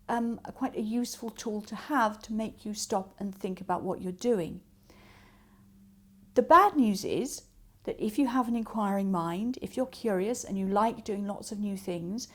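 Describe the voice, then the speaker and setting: A woman speaking as a coach, giving practical advice step by step with illustrative examples. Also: medium pitch. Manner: explains step by step with acted-out example remarks. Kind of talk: instructional talk with practical tips and examples.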